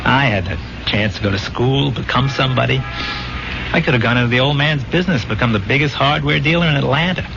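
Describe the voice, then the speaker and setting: An actor in a 1970s radio drama playing a weary, haunted war buddy back from the dead, speaking plainly in halting phrases. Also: male voice, medium pitch, vocal fry, natural voice. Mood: shaken, earnest. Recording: dull old radio recording with music.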